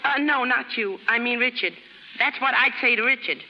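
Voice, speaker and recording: Actress as high-voiced, slangy American chorus girl. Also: normal speed, long reverb tail, close to an everyday voice. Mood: eager, blunt. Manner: slangy, ungrammatical, blunt. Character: scrappy, plain-spoken young chorus girl, streetwise yet devoted. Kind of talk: 1930s radio acting. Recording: muffled, noisy 1930s radio broadcast.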